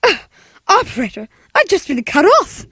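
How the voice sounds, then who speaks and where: A woman's voice: very high voice, wildly swooping pitch, normal speed, fairly bright tone, no room sound, clean studio sound, voice pushed up, heavily acted. A woman playing an anxious, demanding, frail invalid wife in a 1940s-style radio play, fretful and given to breathless exclamations. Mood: horrified, panicked.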